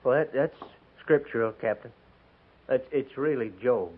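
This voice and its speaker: Husky, pleading American cavalry private, played by a man. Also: mid-range voice, a little slow, lightly acted. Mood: distressed pleading. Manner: stammering, pleading, folksy ungrammatical speech. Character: pleading cavalry private, stubbornly devoted to his old horse. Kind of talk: 1950s radio drama acting. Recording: tinny narrow-band 1950s radio recording.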